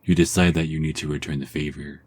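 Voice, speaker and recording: A man narrating a second-person ASMR story in a calm, soft-spoken, husky voice. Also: rumbling low, quick pace, slight background noise.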